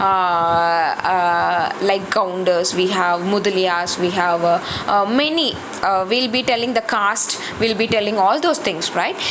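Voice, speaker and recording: A woman's voice: medium pitch, noisy background. A woman from Tamil Nadu with a Tamil accent, giving a classroom lecture, repeating points and asking rhetorical questions with many fillers. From Tamil Nadu, India. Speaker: college lecturer.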